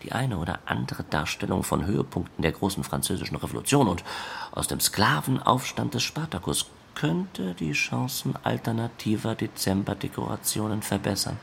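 A man narrates a literary text in a low voice with a gravelly edge. His delivery is measured and formal, setting things out factually like an official report. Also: animated, a bit bright, a little hiss or hum.

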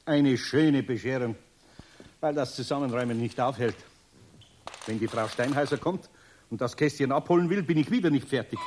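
A man's voice: mid-range voice, fairly quick, noisy background, natural voice. A man playing a middle-aged, good-natured, grumbling master carpenter with a Bavarian accent in a children's radio play. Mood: grumbling, puzzled. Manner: muttering to himself, grumbling.

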